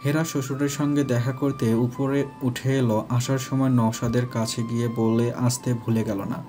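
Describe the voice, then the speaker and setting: A man's voice: mid-range voice, flat delivery, moderate pace, warm tone. A man narrating a scripted romantic family story as the narrator. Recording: faint music behind.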